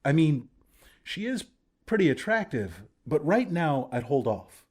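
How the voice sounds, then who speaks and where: An idealistic young rebel leader from a video game. His voice sits a little higher than most men's. He talks like a sincere, approachable comrade, expressive and conversational, with an earnest streak of self-doubt. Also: a little slow. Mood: cautioning, sympathetic. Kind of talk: video game character dialogue.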